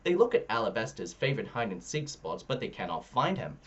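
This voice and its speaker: A man reading a children's story aloud expressively, shifting his pitch between narration and dialogue. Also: very animated, brisk, muffled recording, slight background noise. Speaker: storybook reader.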